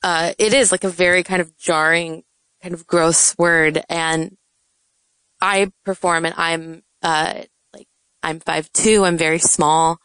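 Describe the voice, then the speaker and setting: Young woman, soft and halting, low-pitched, dry close sound. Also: steady tone, smooth, dry recording, rough recording. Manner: soft-spoken, hesitant, many fillers. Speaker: poet. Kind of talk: casual interview chat.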